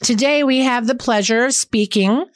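A woman's voice: fairly high pitch, moderate pace, no echo. A woman from New Jersey hosting a podcast, introducing a guest and asking plain, friendly questions. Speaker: podcast host. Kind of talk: casual podcast interview.

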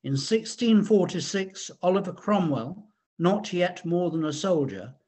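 A man reading a scripted history talk in a measured, explanatory way. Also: sits high, expressive, a little mellow, breathy edge. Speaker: researcher and reader of a local history talk.

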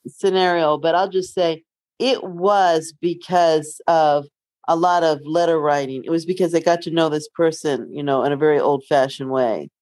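A woman's voice: deep voice, steady tone, normal speed, darkish tone, no room sound. Female co-host, casual and emphatic talker who cuts into her own sentences, dry close recording. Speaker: podcast co-host. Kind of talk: casual relationship chat.

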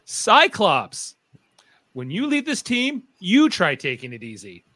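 Guy doing a uptight young superhero boss, high bright voice, stern n insistent, radio play. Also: huge pitch swings, slow pace, dry recording, heavily acted. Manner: tense, commanding, insistent. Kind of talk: live radio play acting.

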